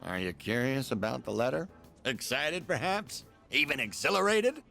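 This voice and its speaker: A man's voice: medium pitch, animated, normal speed, gravelly, bright, forceful tone, faint music behind. An actor playing a coy, teasing, eccentric man in a video game, with a theatrical delivery. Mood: playful, teasing. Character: playful, teasing, eccentric letter-writing man.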